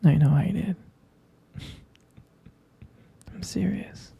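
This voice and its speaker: ASMR roleplay boyfriend, male actor: tender and devoted, hushed and intimate, gravelly fry, unhurried delivery. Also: a little high, dry recording, dull audio, exaggerated voice. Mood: adoring. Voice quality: breathy, husky whisper. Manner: slow, doting, lingering over words. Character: tender, devoted boyfriend comforting his pregnant partner.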